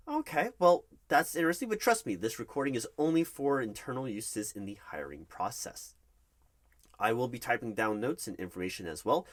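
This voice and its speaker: Man acting a low-voiced, nervous hiring manager. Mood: nervous, polite. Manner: hesitant, formal, wordy corporate phrasing. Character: nervous, polite male corporate hiring manager conducting an interview. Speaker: roleplay performer. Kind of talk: one-sided ASMR roleplay of a job interview.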